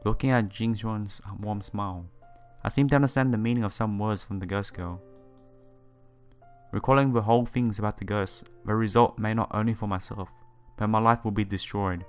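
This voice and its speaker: A man with a fairly low voice reads visual novel text aloud as a let's player. His delivery is halting, with stumbles over words, and game music plays faintly behind him. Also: steady tone, clean tone. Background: visual novel background music.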